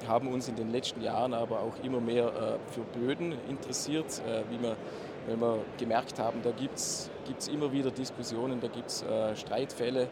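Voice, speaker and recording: Monotone Austrian man over loud noise. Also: mid-range voice, creaky. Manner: halting, suppressing his dialect. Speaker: surveying technician presenting. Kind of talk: informal technical presentation. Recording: loud background noise, live talk.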